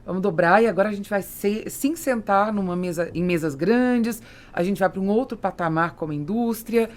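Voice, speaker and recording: A woman's voice: medium pitch, normal speed, a little mellow. A woman with a Brazilian accent hosting a conversational business interview, often chiming in with short agreement. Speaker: podcast host interviewing guests.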